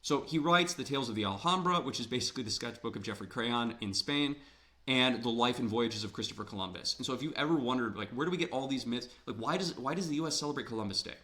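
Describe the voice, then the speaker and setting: A man's voice: medium pitch, animated, fast talker, pushed and bright. A man from Ohio giving a literature lecture in a conversational way, with rhetorical questions, his voice a little worn. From Ohio, USA. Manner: conversational, asks rhetorical questions, repeats words when emphasizing. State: slightly losing his voice.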